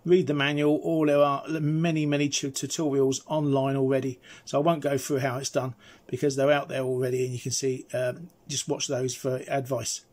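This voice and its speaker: A man's voice: on the high side, a little mellow. A middle-aged man giving a casual, chatty, explanatory hands-on review as a presenter. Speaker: presenter reviewing radio gear.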